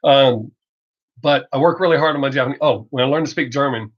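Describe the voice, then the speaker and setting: Middle-aged male streamer, American Southern drawl, blunt off-the-cuff chat with some swearing, mid-pitched voice, slightly muffled lo-fi audio. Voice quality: Southern drawl masked by fast talk. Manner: blunt, rambling, profane, joking. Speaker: reaction video creator hosting a live stream. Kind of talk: casual livestream chat, off the cuff. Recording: dull lo-fi livestream audio.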